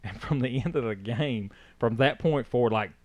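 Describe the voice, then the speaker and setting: A man's voice: mid-range voice, moderate pace, a little mellow, no echo. A man co-hosting a podcast, chatting casually in a rambling way with many restarts. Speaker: podcast co-host. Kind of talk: casual chat.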